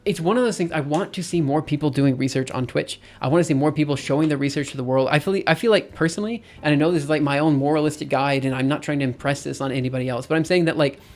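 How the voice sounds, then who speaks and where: A man in his thirties talking casually on a live stream, thinking out loud with lots of fillers and restarts. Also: fairly high pitch, fast talker, few pauses. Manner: rambling, thinks aloud with many fillers and restarts.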